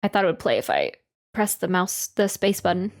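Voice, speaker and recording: A woman's voice: lowish voice, wildly swooping pitch, a little fast, soft background music. A woman streamer reacting casually and talking with her viewers in informal, slangy language. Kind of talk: casual livestream reaction chat.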